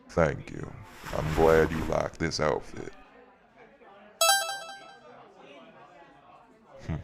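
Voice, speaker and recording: A man playing a bossy, fussing party host and shopkeeper in an audio drama. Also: full character voice. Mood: composed, dry. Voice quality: very deep, gravelly. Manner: scolding, exasperated, folksy. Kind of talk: audio roleplay acting.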